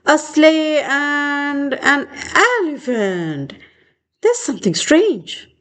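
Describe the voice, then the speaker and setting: Slow, high-pitched, animated woman storyteller. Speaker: children's book reader. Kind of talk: expressive storybook read-aloud.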